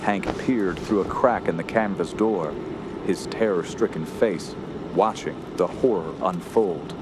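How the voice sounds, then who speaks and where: Man acting as low-voiced, dread-stricken young narrator. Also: unhurried, background noise. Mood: grave dread. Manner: grave, ornate, ominous. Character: dread-stricken young nephew recounting a horror. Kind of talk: scripted first-person horror narration. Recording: loud music bed under voice.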